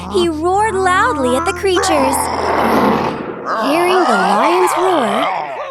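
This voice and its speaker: Female storyteller for kids' fairy tales. High pitch, animated swings, music behind. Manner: expressive, clearly paced storybook delivery. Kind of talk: scripted narration of a children's fairy tale.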